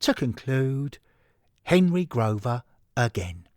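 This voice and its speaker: A man reading scripted story narration in a measured, deliberate way. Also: medium pitch, sing-song, a little mellow, no room sound, studio quality.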